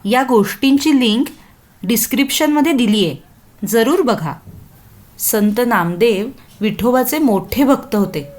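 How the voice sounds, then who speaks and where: A woman's voice: on the high side, lively intonation, a little slow, darkish tone. A woman narrating a scripted children's story expressively, addressing young listeners with questions.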